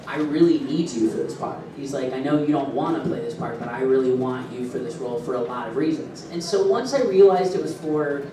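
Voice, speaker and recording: A man's voice: mid-range voice, fairly quick, few pauses, noisy background. A man talking casually and animatedly at a convention panel, telling stories with frequent laughs. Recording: echoey room from far off.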